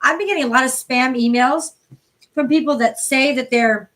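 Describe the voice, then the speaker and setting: A woman from the New York City area with a hoarse voice, chatting casually and swearing freely as she reads tarot cards live for viewers. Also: high-pitched, moderate pace, a bit bright. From New York City area, USA. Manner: chatty, profane, greets viewers by name. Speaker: tarot card reader hosting a livestream. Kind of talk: casual live-stream chat.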